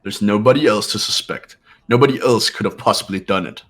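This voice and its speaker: A man's voice: lowish voice, fairly quick, a bit bright, soft background music, lightly acted. A man voicing a cold, arrogant young heir who dismisses others with condescension. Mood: condescending. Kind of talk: fan voice acting of a game.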